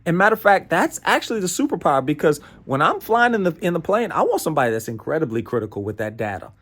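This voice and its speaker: A man's voice: a little high, very animated, fast, a bit bright. An American man presenting a motivational training talk in an emphatic, playful way, repeating phrases and often checking in with "right?". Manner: playful asides and chuckles.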